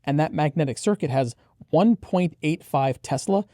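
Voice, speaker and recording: Mellow-voiced man explaining product specs. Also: fairly high pitch, animated, normal speed, no echo, clean studio sound. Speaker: headphone reviewer co-hosting a product preview. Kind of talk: conversational technical product review.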